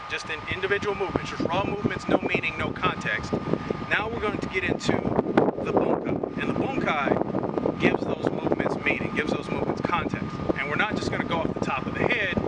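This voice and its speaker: Guy teaching karate outside, high-ish voice, keeps saying right?, mic kinda far, loud wind n trucks. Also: brisk. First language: English. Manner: chatty, keeps adding "right?". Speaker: karate instructor. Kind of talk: outdoor martial arts lesson. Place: outdoors in wind and passing traffic. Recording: windy outdoor audio, distant mic. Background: wind and passing vehicles.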